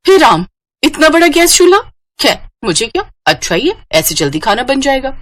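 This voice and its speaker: A woman's voice: sits high, very animated, moderate pace, a bit bright, no room sound, studio quality, voice pushed up, exaggerated voice. Woman voicing a whiny, lazy young bride. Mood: pleased, scheming. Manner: complaining, exclaiming, talks to herself. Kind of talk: Hindi moral-story cartoon voice acting.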